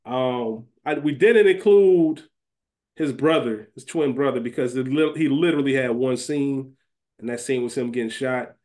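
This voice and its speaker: A man's voice: mid-range voice, moderate pace, darkish tone. A man co-hosting a podcast, chatting informally and casually with slang. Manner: informal, slangy, with some swearing. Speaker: podcast co-host. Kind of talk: casual chat; fantasy draft discussion of a TV show.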